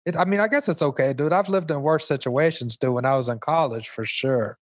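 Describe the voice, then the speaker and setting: A man's voice: on the high side, a little fast, dry recording, studio quality, lightly acted. A man playing an easygoing, dim slacker talk show host who punctuates his slangy chatter with "dude". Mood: amazed, chatty. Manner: slangy, ending phrases with "dude". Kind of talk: comedic radio chat.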